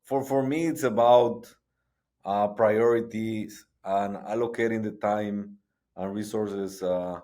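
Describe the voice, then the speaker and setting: Middle-aged man, somewhat low voice, stop-start guest answers. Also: steady tone, warm tone. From Guatemala. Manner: halting, frequent restarts and repetitions. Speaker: guest, sports entrepreneur. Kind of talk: conversational interview.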